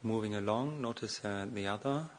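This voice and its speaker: Male, meditation guide, fairly low pitch, halting step-by-step instruction with generous pauses, slight background noise. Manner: slow, hesitant delivery with long pauses. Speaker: meditation teacher, Buddhist monk.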